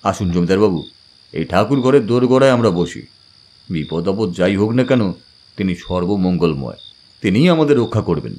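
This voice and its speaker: A man voicing a very deep, grave tantric priest. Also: sing-song, normal speed, a little mellow, slight background noise. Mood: reassuring. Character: grave tantric priest, protective, instructing a landlord. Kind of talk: audiobook drama acting.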